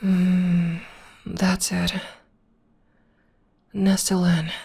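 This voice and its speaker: A young woman voicing a tender, protective witch girlfriend in an ASMR roleplay, speaking softly and often whispering close to the microphone. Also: lowish voice, flat delivery, drawn-out words, vocal fry, slightly rough, exaggerated voice. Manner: soft and soothing, often whispering. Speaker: voice actor.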